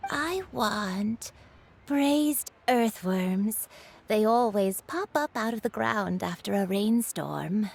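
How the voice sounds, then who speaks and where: A woman voicing a forgetful, gentle elderly grandmother in an English video game dub, meandering and wistful. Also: mid-range voice, lively intonation, slow, creaky, breathy edge, full character voice. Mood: dreamy. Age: older. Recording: game audio with music underneath.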